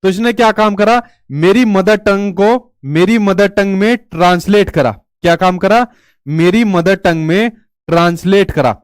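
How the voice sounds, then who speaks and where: A man's voice: high voice, unhurried, clean tone, no room sound, clean studio sound. A male teacher giving an exam-prep lecture in a chatty way, asking rhetorical questions and telling stories.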